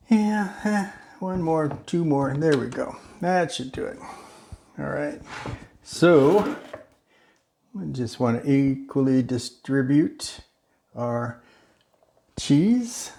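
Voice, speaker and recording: Slow, easygoing man hosting a cooking demo. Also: mid-range voice, a little hiss or hum. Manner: relaxed and chatty, slips into a joking mock-Italian accent. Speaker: home cooking video host. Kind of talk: casual cooking demonstration.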